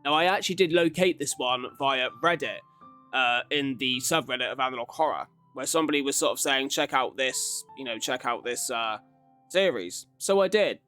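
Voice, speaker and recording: A man's voice: medium pitch, moderate pace, sharp tone. A man hosting a casual ranking commentary, talking in a rambling, informal, conversational way. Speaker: YouTube reaction host. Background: background music.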